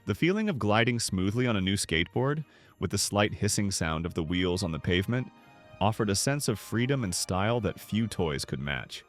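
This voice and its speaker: A man reading scripted documentary narration in a measured, polished storytelling manner. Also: on the low side, very animated, soft background music.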